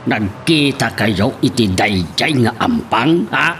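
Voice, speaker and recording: A man's voice: medium pitch, sing-song, takes it slowly, fairly bright tone, soft background music, exaggerated voice. A man with an Ilocano accent acting an exasperated, scolding middle-aged father in an amateur audio drama.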